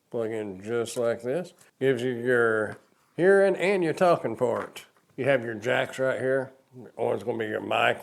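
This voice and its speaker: A man's voice: medium pitch, a little mellow. An older man reviewing a product hands-on in a casual, plain-spoken way, explaining things step by step.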